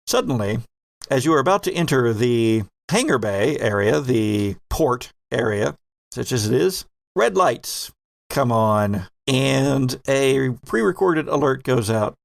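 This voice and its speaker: A man narrating a sci-fi horror tabletop game as host and game master, with measured, dramatic storytelling. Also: medium pitch, animated, vocal fry, dry recording, clean studio sound.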